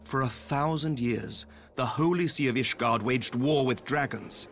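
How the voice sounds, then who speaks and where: A man's voice: mid-range voice, measured pace, fairly bright tone, a little hiss or hum, natural voice. An actor playing a solemn, earnest noble statesman, speaking with grave eloquence in a game cutscene. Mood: grave, regretful. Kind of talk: formal scripted game cutscene dialogue.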